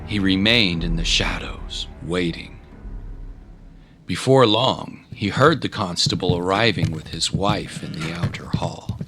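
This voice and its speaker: A man's voice: sits low, a little slow. A man narrating a scripted adventure story in a dramatic style. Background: loud music.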